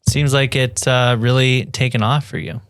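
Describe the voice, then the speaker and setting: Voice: mid-range voice, steady tone, normal speed, clear, resonant tone, dry recording, studio quality. A podcast host asking brief, plain interview questions.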